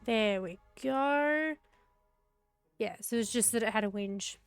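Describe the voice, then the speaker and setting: A woman's voice: on the high side, slow, clean tone, no echo, soft background music. Sniffly woman streaming a game. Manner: chatty, reads quest text in character. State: sniffly from a cold. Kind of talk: reading game dialogue aloud while playing. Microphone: headset.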